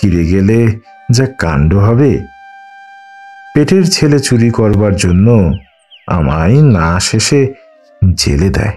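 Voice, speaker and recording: A man's voice: on the low side, big pitch swings, takes it slowly, long pauses, warm tone, faint music behind, exaggerated voice. A man voicing a wilful, complaining young mother and sister-in-law with an emphatic colloquial manner, in an audiobook. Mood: sly, confiding.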